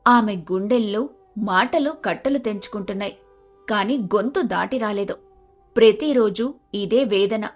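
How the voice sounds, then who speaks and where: A woman's voice: mid-range voice, a little slow, faint music behind. A woman narrator reading a scripted moral story in a dramatic storytelling style.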